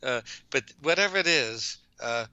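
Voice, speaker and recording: Bright, forceful New Yorker, older man. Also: medium pitch, expressive, clean tone, dry recording, lo-fi sound. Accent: New York. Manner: rambling, halting, self-correcting. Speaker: OCD psychologist, interview guest. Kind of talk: reflective interview answers.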